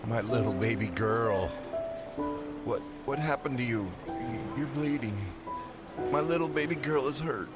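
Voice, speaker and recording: A man's voice: medium pitch, unhurried, loud music behind the voice, natural voice. An actor playing an estranged father who meets his wife and daughters on a visit, curt and bitter at first and then tender. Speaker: father meeting family on a visit.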